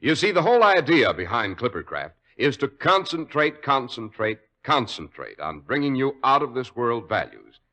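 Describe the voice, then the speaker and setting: A male old-time radio announcer rolling out smooth sales patter, his voice rising and falling with plenty of lift. He sits at a mid-range pitch and keeps the pitch moving. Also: very animated, normal speed, pushed and bright.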